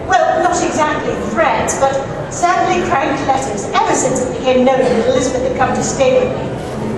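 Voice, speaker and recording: Woman doing a fluttery high-pitched posh lady, stammers a lot, echoey hall, heard from far off. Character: flustered aristocratic London society lady, middle-aged. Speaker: stage actor in live radio play. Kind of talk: live old-time radio drama before an audience. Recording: echoey hall mic from afar, audience.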